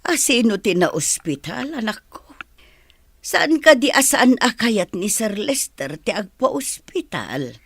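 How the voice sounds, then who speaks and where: A woman acting as a gentle, worried mother on a call in an Ilocano radio drama, tender and concerned. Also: on the high side, very animated, a little slow, breathy edge, dry recording, studio quality, exaggerated voice.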